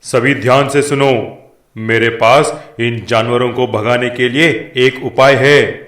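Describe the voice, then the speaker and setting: A man playing a stern, stubborn village headman in an animated moral story, authoritative and deliberate. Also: mid-range voice, reverberant, faint music behind. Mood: commanding.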